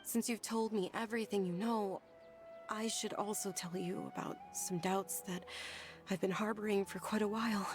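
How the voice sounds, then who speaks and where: A woman voicing a gentle, thoughtful young noblewoman in a game, soft-spoken and earnest. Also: medium pitch, fairly even intonation, moderate pace, over music, lightly acted. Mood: calm. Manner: soft-spoken and earnest, with hushed breathy moments.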